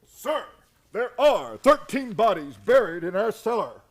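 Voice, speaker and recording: A man's voice: sits high, very animated, measured pace, exaggerated voice. A man acting a cheerful, deluded fellow who believes he is the president, hearty and grand, in a radio play comedy. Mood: earnest, grand.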